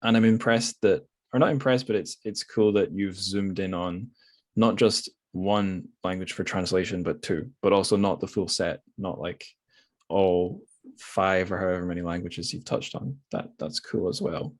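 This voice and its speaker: A man hosts a relaxed book podcast. He talks casually and hesitantly, wandering through his thoughts as he goes. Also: low voice, normal speed. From Scotland. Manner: hesitant, rambling, thinking aloud. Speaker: podcast host. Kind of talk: casual literary interview.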